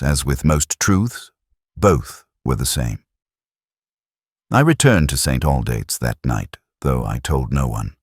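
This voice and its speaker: A man playing a brooding, lonely police inspector who narrates a mystery drama in a measured, literary, reflective way. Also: a bass voice, a little mellow, slightly rough, dry recording, clean studio sound, full character voice. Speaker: narrator of a detective story. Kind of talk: scripted first-person mystery narration.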